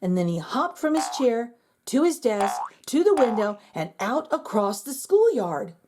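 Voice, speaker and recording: A woman reading a children's picture book aloud in an expressive, storytelling manner. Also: fairly high pitch, unhurried, noisy background. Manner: expressive and animated.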